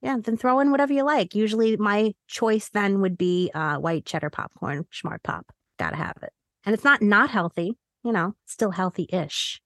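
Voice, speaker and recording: A woman's voice: mid-range voice, animated, normal speed, a bit bright, no room sound, studio quality. A woman co-hosting a podcast in a casual, chatty style with a playful, jokey manner. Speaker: podcast co-host.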